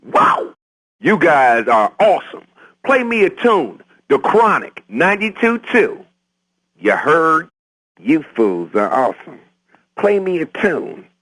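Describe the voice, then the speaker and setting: A man's voice: on the high side, big pitch swings, a bit bright, exaggerated voice, low-quality recording. A man playing a brash, hyped-up hip-hop fan calling a radio station, drawing out street slang. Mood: impressed. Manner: drawn-out, swooping street slang. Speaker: radio caller.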